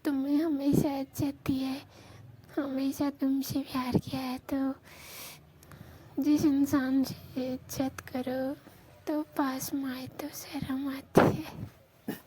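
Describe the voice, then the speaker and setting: A woman playing a shy, devoted young married Rajasthani village woman, speaking in a hushed voice to her secret lover on the phone. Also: level tone, slow, pauses often. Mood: shy, tender. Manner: hushed, speaking low so neighbours will not hear. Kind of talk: intimate romantic phone conversation, acted as a prank call recording. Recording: noisy phone call recording.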